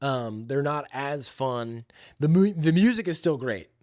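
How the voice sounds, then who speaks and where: A man's voice: medium pitch, animated, unhurried, a little mellow, no echo. Man casually reviewing movies, very dry sound. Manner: informal, opinionated, enthusiastic slangy talk. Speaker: podcast host.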